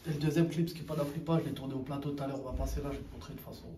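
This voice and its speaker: Fast-talking young man, slangy and roomy. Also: mid-range voice, steady tone, dark tone, distant mic. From Sri Lankan heritage, raised in France. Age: 20s. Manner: fast slangy talk, full of filler tags. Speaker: rapper interviewee. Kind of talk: casual street interview. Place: outdoors in a neighborhood. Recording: roomy, from off the mic, faint street noise.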